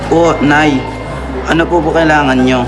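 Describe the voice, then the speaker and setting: Caring, responsible eldest son played by a man, Filipino accent, amateur radio drama, loud music underneath. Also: a little high, fairly even intonation, moderate pace, natural voice. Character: dutiful, caring eldest son looking after family.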